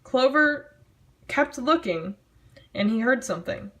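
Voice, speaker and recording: A woman's voice: a little high, lively intonation, measured pace, sharp tone. Woman doing a mock-dramatic reading, sardonic. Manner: theatrical, self-mocking, sardonic. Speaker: amateur storyteller reading own childhood writing. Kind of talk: mock-dramatic reading aloud with casual snarky asides.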